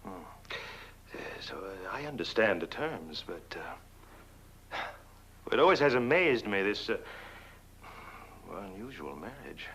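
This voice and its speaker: An actor playing a suave, scheming lawyer in horror-comedy film dialogue, with theatrical emphasis and hesitant murmurs. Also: male voice, fairly low pitch, big pitch swings, slow, pauses often, a bit bright, a little hiss or hum, exaggerated voice, low-quality recording. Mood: smooth, insinuating. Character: suave, scheming male lawyer wooing a young widow.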